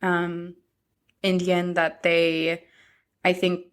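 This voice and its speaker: A woman in her thirties hosting a podcast, chatting in a reflective, halting way. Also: on the low side, monotone, clear, resonant tone. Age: 30s. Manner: reflective, speaks in short halting phrases. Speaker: podcast host. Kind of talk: casual chat.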